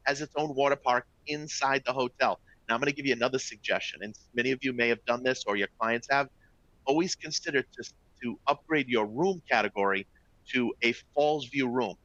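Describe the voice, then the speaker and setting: A man's voice: medium pitch, brisk, bright, forceful tone, no room sound, dull audio. A middle-aged man with a Boston accent presents a live webinar to travel agents, upbeat and chatty, often checking in with "all right?" and "folks". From Boston area, US.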